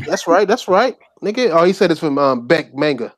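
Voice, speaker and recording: A man hosting a casual gaming podcast, joking loudly and cursing freely. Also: a little high, lively intonation, normal speed, dry recording. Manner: loud, profane, joking banter. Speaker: podcast host. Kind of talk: casual group chat.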